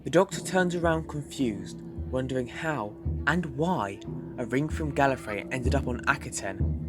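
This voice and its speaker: Male narrator over clear background music. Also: mid-range voice, lively intonation, normal speed. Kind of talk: scripted third-person audio drama narration. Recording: music underneath.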